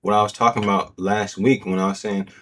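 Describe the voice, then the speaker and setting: Low-voiced man in slangy casual commentary. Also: flat delivery, a little fast, a bit bright, slight background noise. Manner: slangy, loose, many fillers. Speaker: host.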